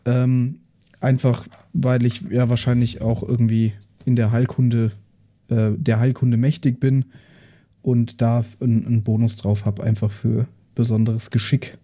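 A man casually introducing his tabletop role-playing character in a halting, informal way. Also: medium pitch, flat delivery, warm tone. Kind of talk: casual character introduction on an actual-play podcast.

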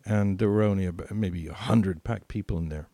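An older British man with a low, gravelly voice tells personal stories in podcast conversation. He leans on repeated phrases for emphasis, and the recording is close and dry. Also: moderate pace, mellow, dry recording. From Britain. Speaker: podcast co-host.

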